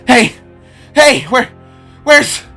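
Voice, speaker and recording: A man's voice: extremely high pitch, big pitch swings, very slow, gravelly, bright, forceful tone, faint music behind, pitched above the performer's own voice, exaggerated voice. A man voicing an anguished, despairing glowing spark creature in an amateur audio drama, pleading in repeated bursts. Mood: urgent, calling out.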